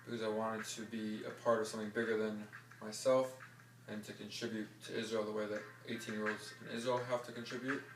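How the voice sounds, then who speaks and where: A man in his twenties from New York, a serving soldier, speaking in a steady, deliberate way with some ums. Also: on the low side, echoey room, recorded from a distance, noisy background. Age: 20s. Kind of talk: earnest interview testimony.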